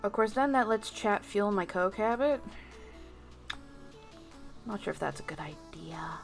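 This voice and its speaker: A woman livestreamer chatting casually to her viewers, musing off the cuff with pauses between thoughts. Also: mid-range voice, expressive, creaky, soft background music. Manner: unscripted musing, addressing chat, with pauses. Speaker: VTuber livestreamer.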